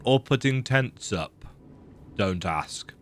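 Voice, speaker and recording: A man with a croaky voice giving casual, rambling, self-deprecating commentary while he plays a video game. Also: medium pitch, animated, takes it slowly. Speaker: let's play gamer.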